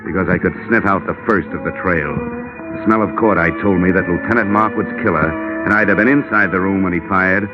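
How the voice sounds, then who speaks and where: A deep-voiced, world-weary American private investigator, played by a man from a 1940s radio drama. He is clipped, wry and deadpan, in conversation or narration. The vintage broadcast sound is muffled, with music around it. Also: fairly quick, lightly acted. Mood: grim, wry narration. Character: hardboiled wry male insurance investigator, cool and world-weary. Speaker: lead actor and first-person narrator.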